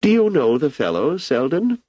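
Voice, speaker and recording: An aging emperor, wry and imperious, drawling his questions with lazy amusement, voiced by a man reading a novel aloud. His voice sits a little higher than the narrator's deep one and moves widely. The sound is dry and close. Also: wildly swooping pitch, moderate pace, dark tone, dry recording, lo-fi sound. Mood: amused. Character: aging male emperor, idle and ironic.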